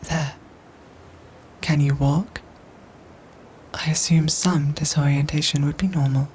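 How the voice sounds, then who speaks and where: Low, whispery female android soldier, acted by a woman. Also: steady tone, slight background noise. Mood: gentle, caring. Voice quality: soft, breathy, whispery. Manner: measured, formal, robotic phrasing with careful pauses. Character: calm, protective female android soldier, formal and gentle. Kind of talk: ASMR audio roleplay acting.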